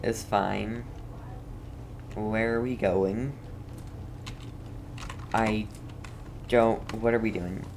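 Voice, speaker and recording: Very low-voiced woman streaming games. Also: steady tone, extremely slow, pauses often, darkish tone, slight background noise. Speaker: game streamer. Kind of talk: casual gameplay commentary.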